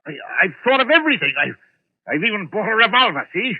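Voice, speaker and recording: A man's voice: sits high, big pitch swings, fast talker, breathy edge, heavily acted. An actor playing a domineering, haunted man close to breaking, imperious but stammering when rattled, in vintage radio suspense style. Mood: agitated, confiding. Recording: old radio, thin narrow-band sound.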